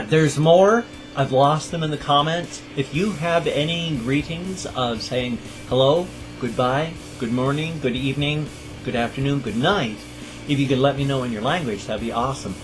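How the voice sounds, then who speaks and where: Middle-aged guy vlogging, slow and bouncy, rambly and self-deprecating, guitar music behind. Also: mid-range voice. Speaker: channel host. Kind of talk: casual chat to camera.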